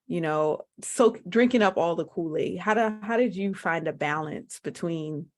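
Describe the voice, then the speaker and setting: A woman's voice: lowish voice, moderate pace. A woman hosting a podcast interview, asking long, searching questions in a conversational way. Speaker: podcast host.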